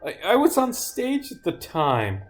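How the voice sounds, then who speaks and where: A flustered, defensive woman suspect in a visual novel, acted out by a man. The voice is pushed high and protests dramatically. Soft music sits underneath. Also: huge pitch swings, unhurried, full character voice. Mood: alarmed, defensive. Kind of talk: acting out visual novel dialogue.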